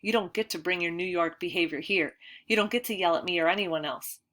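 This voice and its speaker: A woman's voice: lowish voice, a little fast, a bit bright, natural voice. A woman doing a stern, weary headmaster. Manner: clipped, scolding. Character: stern, tired male school headmaster. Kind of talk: audiobook character voice.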